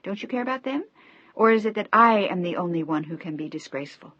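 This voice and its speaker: A woman voicing a furious young daughter who shouts and sobs her accusations, in a dry, slightly dull recording. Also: lowish voice, normal speed, no echo.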